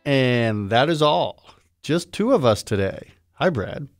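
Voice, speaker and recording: A man's voice: mid-range voice, sing-song, slow pace, dry recording, distant mic, faint music behind. A man hosting a podcast in casual chat, joking and telling a story in a chatty way. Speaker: podcast host.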